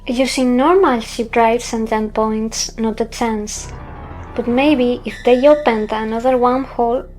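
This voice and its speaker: A woman's voice: a little high, fairly even intonation, measured pace, a little mellow, soft background music, natural voice, rough recording. A woman playing a blunt, analytical fighter pilot in a sci-fi audio drama. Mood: thoughtful.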